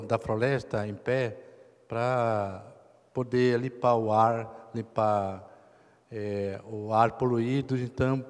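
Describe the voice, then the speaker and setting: Man from brazil, slow halting speech full of fillers, big echoey hall. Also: mid-range voice, darkish tone. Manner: hesitant, many fillers, slow pauses. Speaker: chief's grandson receiving an honor. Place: ceremony hall. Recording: long reverb in a big hall.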